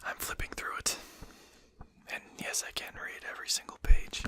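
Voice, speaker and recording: A performer voicing a courteous but condescending vampire in a whispered ASMR roleplay, speaking formally and with measured care. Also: natural voice. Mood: condescending, dismissive. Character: courteous, condescending vampire manor lord, amused and civil.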